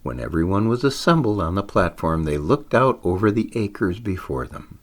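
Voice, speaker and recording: A man reading his own story aloud in an expressive storytelling style, with deliberate, dramatic phrasing. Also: low voice.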